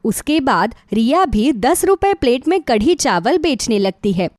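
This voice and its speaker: A woman's voice: on the high side, big pitch swings, a little slow, a little mellow, no echo, clean studio sound. A woman reading scripted narration for a children's moral story.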